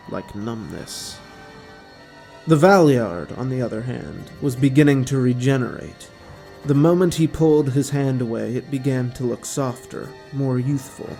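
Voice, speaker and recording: A man's voice: mid-range voice, gravelly, warm tone. A man reading scripted science fiction drama narration in a dramatic storytelling style. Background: music.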